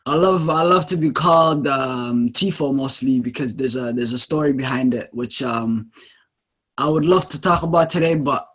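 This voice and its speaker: A man's voice: mid-range voice, normal speed, a bit bright, breathy edge. A young adult man from Botswana, a podcast guest, talking casually and hesitantly with many ums and restarts.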